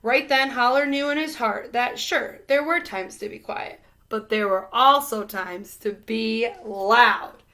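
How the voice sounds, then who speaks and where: Female storybook reader, high-pitched and very bright, expressive narration for young children. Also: measured pace.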